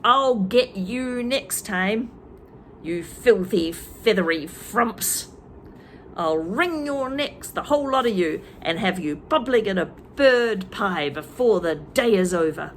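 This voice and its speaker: A woman doing a nasty shouting old man. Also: medium pitch, animated, takes it slowly, a little hiss or hum. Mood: threatening. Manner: barking, shouted threats.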